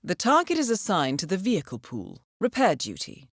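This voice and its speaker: An actress playing a composed, formal and dryly witty intelligence handler who briefs an assassin in a video game. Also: female voice, fairly low pitch, wildly swooping pitch, moderate pace, no echo, clean studio sound, exaggerated voice. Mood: composed, businesslike. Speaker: mission handler.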